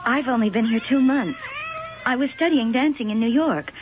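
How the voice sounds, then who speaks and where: An actress playing a nervous young former dancer in a vintage radio drama, heard on a tinny, hissy old broadcast. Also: female voice, fairly high pitch, moderate pace, lightly acted. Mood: polite. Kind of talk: 1970s radio drama acting.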